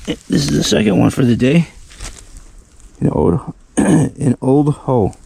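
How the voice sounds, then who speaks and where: A man from Western New York with a hoarse voice from a cold, narrating his metal detecting finds outdoors in the snow, rambling and excited over each relic. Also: on the high side, takes it slowly, a little hiss or hum. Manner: rambling, enthusiastic about finds, many fillers. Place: outdoors in a snowy old park.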